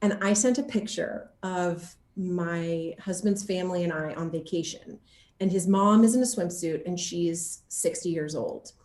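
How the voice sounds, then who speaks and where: A woman giving a casual interview as an actor, speaking in an animated, self-deprecating way with playful exaggeration. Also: fairly low pitch, unhurried. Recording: roomy, echoing sound.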